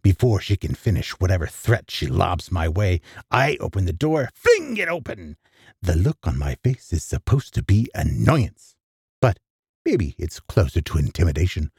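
Gravelly male horror narrator, very deep and dark-toned; theatrical, close and dry. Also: sing-song, moderate pace, no echo. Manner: theatrical, with sudden gasps and hushed asides. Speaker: storyteller. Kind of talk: dramatic first-person horror narration.

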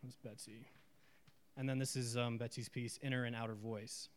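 A man who leads a writing workshop, introducing his students' pieces in a loose, halting way and reading them aloud slowly and deliberately. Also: mid-range voice, little pitch movement. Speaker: writing workshop leader reading participants' work. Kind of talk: live reading to an audience.

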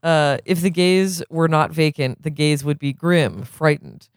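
A man's voice: on the high side, measured pace, smooth, dry recording, studio quality. A man reading a literary novel aloud as narrator. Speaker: reader, co-host.

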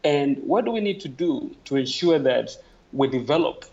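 A man from Tanzania, a guest calling in remotely to a podcast, answering thoughtfully with many "uh" pauses. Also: a little high, animated, a little mellow, dull audio. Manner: thoughtful, many "uh" fillers, earnest. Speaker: podcast guest, talent development executive. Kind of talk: conversational interview answer.

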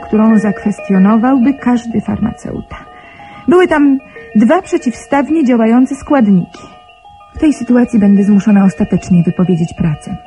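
A woman's voice: a little high, mellow, lightly acted. An actress playing a conscientious, earnest young woman pharmacist in a Polish radio play. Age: young adult.

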